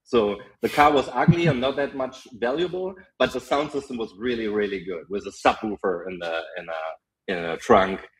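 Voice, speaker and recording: A young man with a German accent, a podcast guest telling lively stories in casual chat, full of fillers. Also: mid-range voice, moderate pace, poor audio. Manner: animated storytelling, frequent fillers and laughs.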